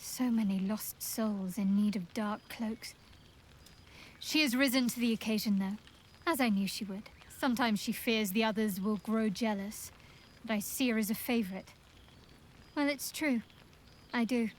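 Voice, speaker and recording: A woman playing a devout, commanding young dark priestess in a video game, speaking in a measured, formal, wry and intimate way. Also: a little high, a little hiss or hum, lightly acted. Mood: composed, proud. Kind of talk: video game character dialogue. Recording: game dialogue over background music. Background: music.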